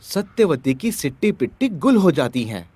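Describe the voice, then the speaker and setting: Guy narrating a story, raspy mid voice, really animated intonation. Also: on the high side, moderate pace, no echo.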